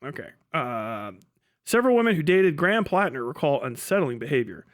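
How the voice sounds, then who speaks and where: A man's voice: fairly high pitch, very animated, fairly quick. A man giving casual, opinionated political commentary as a livestream host, blunt and full of swearing, rambling and restarting mid-thought.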